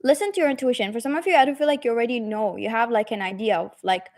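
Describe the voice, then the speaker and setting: A woman's voice: a little high, very fast, a little mellow. A woman giving a pick-a-card tarot reading straight to the viewer. She rambles and circles back, full of fillers and restarts, and speaks in a reassuring, direct way. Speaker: tarot reader.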